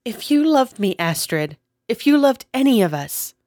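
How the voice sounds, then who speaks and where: A frightened young girl, as a male narrator puts her on, pleading in a high, lilting voice that leaps up and down. The sound is dry and close. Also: sing-song, moderate pace, a little mellow, no room sound. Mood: insistent. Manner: high pleading falsetto, tearful.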